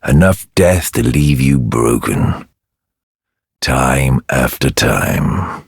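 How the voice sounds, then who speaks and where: A man playing a surly, contemptuous knight in a dark fantasy game, with a gravelly voice and a sneering, condescending drawl. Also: lively intonation, very slow, dry recording, studio quality, full character voice. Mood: scornful menace. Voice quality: very deep, gravelly. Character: surly, contemptuous, menacing knight guarding a girl.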